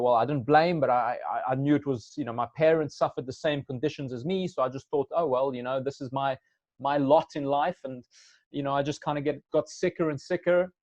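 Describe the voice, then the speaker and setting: A man's voice: medium pitch, darkish tone, no echo, dull audio. A man in his thirties, a company co-founder, answering interview questions casually and hesitantly, with many restarts.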